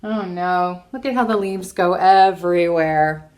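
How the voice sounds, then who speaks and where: A woman reading a children's storybook aloud at storytime, expressive and often putting questions to her young listeners. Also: fairly low pitch, measured pace.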